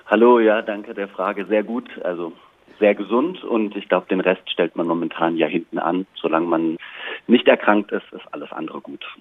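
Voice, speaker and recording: A man's voice: sits low, fairly even intonation, normal speed, no echo. A man giving reflective, articulate answers as an interview guest, choosing his phrasing with care. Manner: thoughtful, articulate, considered phrasing. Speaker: interview guest, playwright and director. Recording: tinny, narrow phone-like line.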